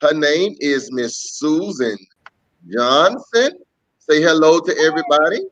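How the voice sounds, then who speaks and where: A man's voice: fairly high pitch, lively intonation, a little slow, a bit bright. A man casually hosting a livestreamed children's story time, greeting viewers and repeating himself as he goes. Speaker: host, pastor. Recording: dull lo-fi webcam stream.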